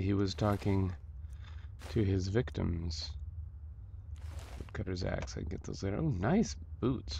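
A man giving casual commentary on his own gameplay, muttering and thinking aloud with halting delivery and mild folksy exclamations. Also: deep voice, vocal fry, a little mellow, highs cut off, a little hiss or hum. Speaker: gamer narrating own playthrough. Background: video game music.

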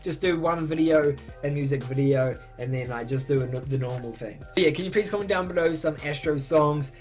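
An eighteen-year-old man chats straight to the camera from his bedroom, answering fan questions in a casual vlog. His voice sits a little high and leaps even higher when he gets excited. Soft music plays faintly underneath. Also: brisk. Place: bedroom, indoors.

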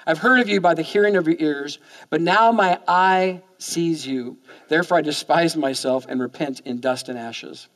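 A man's voice: fairly high pitch, normal speed. Chatty pastor man in a reverberant hall. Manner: animated, conversational, repeats words when starting sentences. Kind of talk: sermon. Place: church stage.

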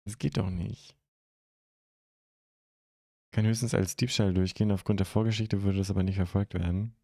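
Deep, mellow young male streamer. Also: steady tone, moderate pace, gravelly, no echo, clean studio sound. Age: young adult. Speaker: streamer hosting a live chat show. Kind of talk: casual chat, answering viewer comments. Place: indoor streaming room at home. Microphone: close microphone on a stand.

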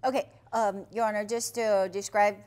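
A woman's voice: mid-range voice, level tone, moderate pace, darkish tone, slight background noise. A middle-aged woman from China with a Chinese accent, pleading her case hesitantly as a plaintiff with many fillers. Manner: hesitant, with fillers, flustered and protesting. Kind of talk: courtroom pleading. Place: TV courtroom set.

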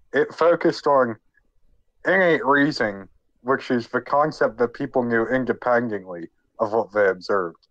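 A man podcast co-host who is sick, explaining history informally in a halting, jokey way. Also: mid-range voice, no room sound, dull audio. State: sick with a cold.

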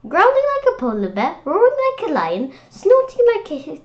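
A woman reading a picture book aloud for children in an expressive, playful way, sometimes halting on words. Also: high voice. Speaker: children's read-aloud host. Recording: dull, lo-fi sound.

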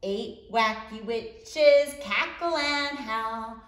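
Very slow, sing-song woman in echoey room. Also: fairly high pitch. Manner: slow, drawn-out, deliberate phrasing for young children. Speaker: early learning teacher reading aloud. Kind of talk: sing-song children's picture book read-aloud. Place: indoor room in front of a display board. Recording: roomy, echoing indoor sound.

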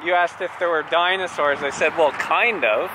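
A man's voice: on the high side, normal speed. A man, a father on a family day trip, teasing his family with coy answers, first in a car and then outdoors. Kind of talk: casual family chat. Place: inside a car, then outdoors at a roadside attraction. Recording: noisy handheld camera audio.

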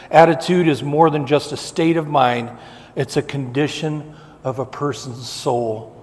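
Man preaching in a reverberant church. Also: mid-range voice. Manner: preaching with swelling emphasis and slow repeated phrases. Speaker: pastor. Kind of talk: sermon. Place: church sanctuary, indoors. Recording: long reverb of a church hall.

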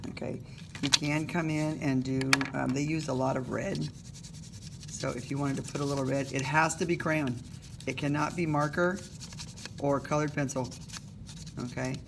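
A woman's voice: low-pitched, steady tone, moderate pace, pauses often, background noise. A woman teacher casually talking students through an art project while she works, self-deprecating and full of little okays and ums. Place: indoors at a table, recording her hands from above.

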